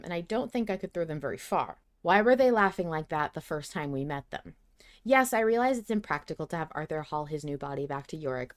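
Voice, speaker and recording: A woman co-hosting a podcast in casual discussion, emphatic and wryly opinionated. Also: on the low side. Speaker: podcast co-host. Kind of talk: casual discussion of a fiction podcast, reading listener submissions.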